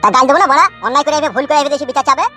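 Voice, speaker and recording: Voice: high voice, level tone, rapid-fire, bright, forceful tone, soft background music. A performer voicing a cheeky, foul-mouthed village cartoon character with a rural West Bengal accent, arguing in brash slang. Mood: indignant. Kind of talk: comic cartoon dialogue.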